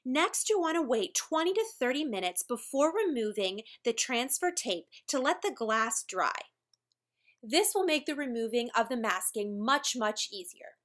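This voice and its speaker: A woman with a high-pitched voice narrates an instructional video. She presents from a script, walking through each step plainly and in careful order. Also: lively intonation, moderate pace, a bit bright. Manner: clear step-by-step explaining. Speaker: tutorial presenter for a decor company.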